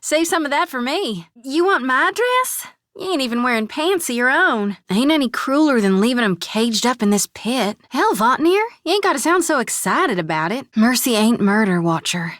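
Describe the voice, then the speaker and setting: Folksy young priestess, plainspoken, slightly high voice, dry close recording. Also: female voice, animated, normal speed, hardly any pauses, fairly bright tone, no echo. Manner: folksy, plainspoken, lots of "ain't" and "well, hell". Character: devout young female priest, folksy and earnest. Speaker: party companion. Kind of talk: video game voice lines.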